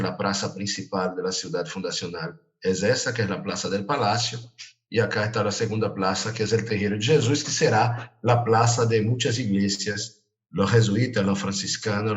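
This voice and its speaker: A man's voice: fairly low pitch, highs cut off. A man from Brazil with a Brazilian Portuguese accent, giving an academic lecture in Spanish, his second language, with frequent restarts and fillers. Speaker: guest lecturer.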